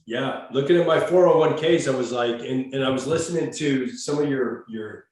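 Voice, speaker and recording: A man's voice: mid-range voice, moderate pace, a little mellow, a little hiss or hum. A man hosting a podcast, talking casually and bluntly, swearing freely. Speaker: podcast host. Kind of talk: casual interview talk. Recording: very echoey, voice far from mic.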